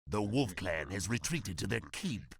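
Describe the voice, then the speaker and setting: A gravelly, lowish-voiced henchman in a game cutscene. He is servile and uneasy, his pitch moving about as he defers to his lord. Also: very animated, measured pace, exaggerated voice. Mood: deferential. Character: servile, nervous male minion reporting to his lord. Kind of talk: video game cutscene acting.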